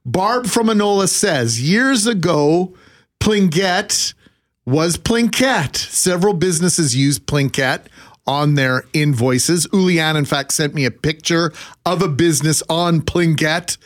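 A man's voice: on the high side, slow pace. A Canadian man co-hosting a radio show with casual, playfully grandiose and emphatic banter. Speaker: radio co-host.

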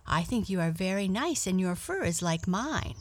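A woman's voice: fairly low pitch, big pitch swings, moderate pace, no echo. A woman voicing a polite, adventurous female cat in a children's storybook, kindly, earnest and a little homesick.

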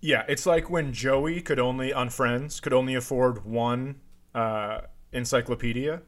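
A man's voice: medium pitch, fairly even intonation, unhurried. A man bantering casually as a comedy podcast co-host, teasing and swearing as he jokes. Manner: teasing, joking, profane.

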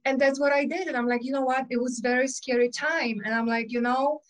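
A woman's voice: sits high, steady tone, a little fast. A middle-aged woman with a Polish accent, a gym owner telling her story casually in an interview, with many fillers and restarts. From Poland. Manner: chatty, many fillers and restarts, quotes herself. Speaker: gym owner and personal trainer.

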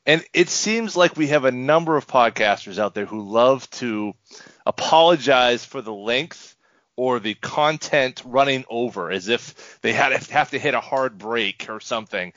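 A man's voice: mid-range voice, lively intonation, a little slow, fairly bright tone, dry recording. A man co-hosting a casual sports podcast, joking in a wry, exaggerated way. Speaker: podcast co-host. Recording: slightly dull, dry podcast audio.

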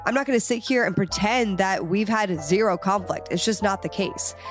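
A woman's voice: medium pitch, normal speed, over music. A young woman hosting a dating podcast, upbeat and encouraging, with a little nasal from a head cold. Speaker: podcast host. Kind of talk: casual podcast chat.

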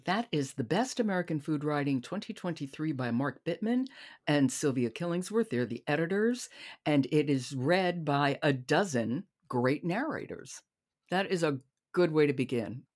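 A woman's voice: low-pitched, lively intonation, unhurried, a little mellow. A woman hosting a podcast, chatting casually about books with her guest. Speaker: podcast host. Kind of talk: casual book chat.

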